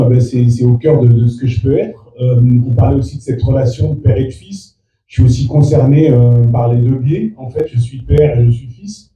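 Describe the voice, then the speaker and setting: Dark-voiced man, halting, far in echoey room. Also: medium pitch. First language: Fula. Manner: halting, many restarts and fillers. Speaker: actor. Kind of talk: press interview.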